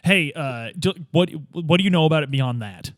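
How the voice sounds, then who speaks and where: A man with a fairly high voice improvises as a player in a tabletop game. His pitch moves around a lot, and he is casual, questioning and jokey. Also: expressive, normal speed, no echo, studio quality. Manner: hesitant, questioning, joking. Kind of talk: casual improvised role-play chat.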